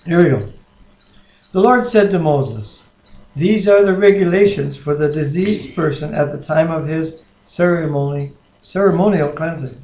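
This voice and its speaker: A man's voice: fairly high pitch, moderate pace, a little mellow. A man in casual devotional talk and scripture reading, speaking in an earnest, plain-spoken way as a co-host, recorded on a webcam microphone. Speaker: co-host reading the Bible aloud. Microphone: webcam microphone at a distance. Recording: echoey room on a webcam microphone.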